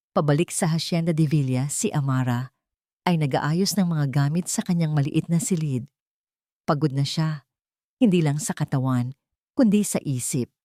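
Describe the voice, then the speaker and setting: A woman's voice: low-pitched, warm tone, no echo, clean studio sound. A woman narrating a dramatic scripted story for an audio drama.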